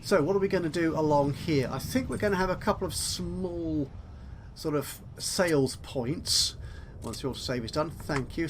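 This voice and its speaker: A man's voice: on the high side, a little hiss or hum. A man giving casual let's play commentary, chatty and thinking aloud as he goes. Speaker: gaming video host.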